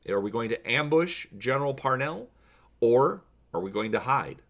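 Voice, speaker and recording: A man's voice: medium pitch, lively intonation, normal speed, a bit bright. A man hosting a story read-along, addressing viewers directly in a casual, emphatic way and reading narration aloud. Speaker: host reading a choose-your-own-adventure story.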